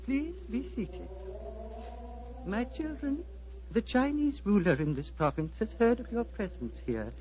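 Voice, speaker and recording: A man's voice: sits high, big pitch swings, a little mellow, full character voice. An actor playing a grave, courteous old Tibetan abbot with a formal, fatherly manner, in 1940s radio style. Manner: measured, formal, paternal. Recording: muffled, noisy old 1940s radio broadcast.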